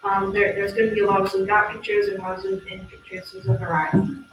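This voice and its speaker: A woman's voice: medium pitch, level tone, fast talker. A young woman, a student presenter, explaining her team's project and taking questions. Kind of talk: team presentation with audience questions. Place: large echoey indoor room. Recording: echoey room from far off, background noise.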